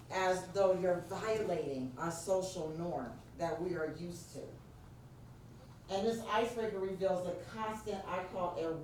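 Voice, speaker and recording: A deep-voiced woman with a New York accent leads an interactive workshop and keeps calling on her audience. Her voice is picked up from well off the mic. It rings through a very reverberant meeting room over a faint background hiss. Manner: engaging, prompts the audience directly. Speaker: workshop presenter. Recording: very echoey room, distant mic, faint noise.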